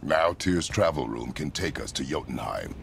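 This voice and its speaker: An actor playing a stern, hulking warrior father in a video game, terse and gravelly. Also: rumbling low, expressive, moderate pace, fairly bright tone, slight background noise, heavily acted. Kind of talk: video game cutscene acting.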